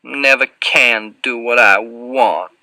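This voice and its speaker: Man playing a slow, leering Southern fat man. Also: mid-range voice, pushed and bright, dry recording, heavily acted, low-quality recording. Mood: sulky. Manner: slow drawling, lingering, insinuating. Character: huge fat man, taunting, leering, sly, sulky. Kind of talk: audiobook character voice.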